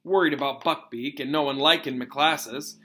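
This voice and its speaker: A man acts out a huge, despairing storybook man who loves creatures. His speech is rustic and choked with tears, and the voice is bright and forceful. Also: on the high side, steady tone, normal speed. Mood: shaken, mournful. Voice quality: choked, sobbing delivery. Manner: rustic, dropped-word folksy speech. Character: giant man, tearful, gruff, despairing creature lover.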